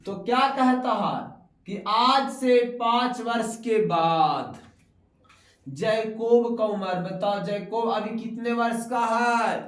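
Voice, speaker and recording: A man from Bihar teaches a maths lesson at an unhurried pace, breaking each step down and pausing to quiz a pupil. His voice sits high for a man. The room around him rings with echo. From Bihar, India. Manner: explains step by step and keeps quizzing a pupil. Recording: echoey room.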